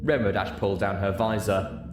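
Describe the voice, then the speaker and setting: A narrator reading a story aloud in an expressive, scripted style. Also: deep voice, normal speed. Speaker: audiobook narrator. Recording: roomy echo, clearly noisy background.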